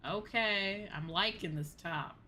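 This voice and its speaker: A woman livestreaming a game in casual, excitable chatter, often exclaiming as she talks. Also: mid-range voice, slow, a little hiss or hum.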